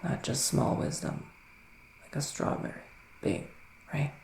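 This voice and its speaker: A man speaking softly and often whispering in an intimate ASMR roleplay as a gentle psychic witch, with deliberate, soothing pauses. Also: medium pitch, sing-song, echoey room, a little hiss or hum. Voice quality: soft, breathy, often dropping to a whisper. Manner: slow, deliberate, pausing, soothing delivery. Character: gentle male witch giving a psychic reading. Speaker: ASMR performer playing a psychic tarot reader.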